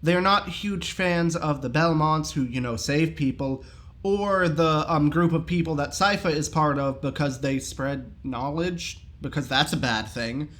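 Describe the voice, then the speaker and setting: A man giving a casual, enthusiastic review in an animated, jokey, slangy way. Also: fairly high pitch, unhurried.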